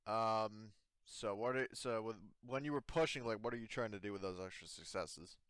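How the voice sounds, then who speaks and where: A man running a tabletop roleplaying game as game master, improvising casually with hesitant, halting phrasing. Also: on the low side, fairly bright tone, clear, resonant tone.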